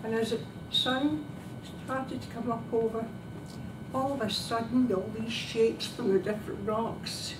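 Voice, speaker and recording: A woman giving a prepared descriptive travel speech to a club, speaking dramatically and emphatically in short phrases with pauses. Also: fairly high pitch, steady tone. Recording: distant, echoey room recording with background noise.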